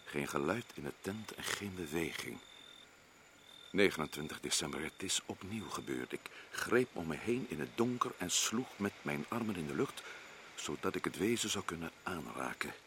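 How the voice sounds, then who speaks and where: A man playing a deep-voiced, stern expedition leader. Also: expressive, normal speed, noisy background. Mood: reading aloud. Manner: probing, commanding. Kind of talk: radio play acting.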